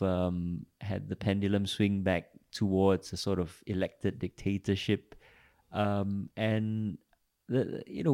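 A man's voice: fairly low pitch, dark tone. A man conducting an interview in a casual, conversational way, asking open questions and often giving short acknowledgments.

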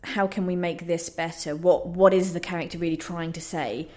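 Female playwright being interviewed, slightly low voice, reflective and hesitant conversational delivery. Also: fairly even intonation, moderate pace, darkish tone. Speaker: playwright and trained actor interviewed.

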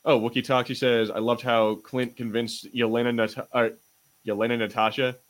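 A male podcast host talks in loose, casual banter about pop culture. His delivery is informal and conversational, peppered with swearing, and his voice sits at an ordinary middle pitch. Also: little pitch movement, moderate pace, fairly bright tone.

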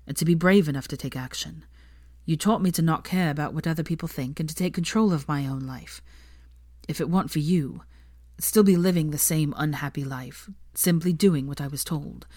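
A woman's voice: deep voice, moderate pace, a little mellow, no room sound, clean studio sound. A woman voicing an anxious, stubborn young man in love, self-conscious and easily flustered, in an audiobook-style story reading. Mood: earnest, tender.

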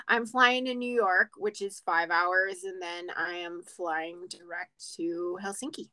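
A woman's voice: on the low side, fairly even intonation, slow, pushed and bright. A woman co-hosting a podcast in casual chat, playful and sarcastic, laughing often. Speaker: podcast co-host.

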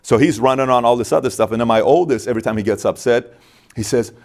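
A man's voice: mid-range voice, fast talker, a little mellow. A man from Iran in his thirties, speaking as an interview guest, emphatic and repeating lines for stress. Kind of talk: candid interview talk.

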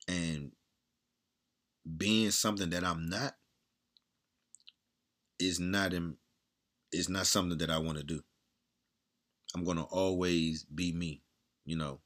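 Man with a strong, loud, very deep voice. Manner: blunt, candid, swears freely, repeats himself. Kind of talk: casual monologue.

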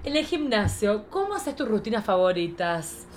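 A woman in her forties with an Argentine accent, hosting a casual comic chat in an energetic manner. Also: mid-range voice, normal speed, slight background noise. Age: 40s. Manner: animated, self-described as very energetic. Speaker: podcast host.

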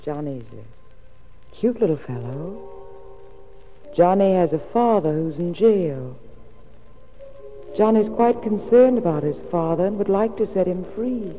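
A woman's voice: on the low side, expressive, measured pace, long pauses, soft background music. An actress playing a polite, scheming woman who feigns sweet concern in a 1940s radio drama. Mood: stalling, sweet. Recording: tinny, reverberant 1940s radio recording.

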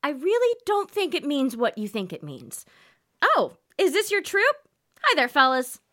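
A woman's voice: high voice, wildly swooping pitch, normal speed, fairly bright tone, heavily acted. A woman playing a perky, naive female radio announcer in a comedy sketch, chirpy and guileless. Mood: puzzled, friendly.

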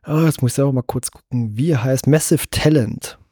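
Husky-voiced man hosting a film podcast. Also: mid-range voice, a little fast, dark tone, dry recording, clean studio sound. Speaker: podcast host. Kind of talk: casual film discussion.

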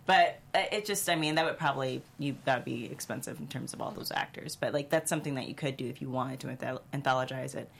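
Female panelist, low voice with heavy vocal fry, casual delivery. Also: quick pace, few pauses.

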